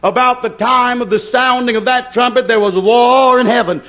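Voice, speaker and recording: A man's voice: extremely high pitch, fairly even intonation, moderate pace, pushed and bright. A man preaching a fervent apocalyptic sermon, emphatic and repetitive, often asking questions and answering them himself. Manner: emphatic, repetitive, incantatory phrasing.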